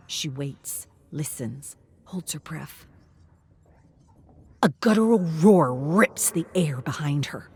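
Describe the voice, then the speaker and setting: A woman reading a scripted story in a dramatic, deliberate way, at times dropping to a hushed tone. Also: sits low, slight background noise. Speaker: narrator.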